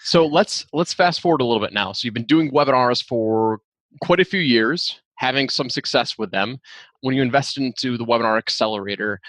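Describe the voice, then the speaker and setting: A man's voice: mid-range voice, fairly quick, fairly bright tone, no room sound, low-quality recording. A man hosting a podcast interview, asking questions and adding his own views in an earnest, agreeable way. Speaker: podcast host.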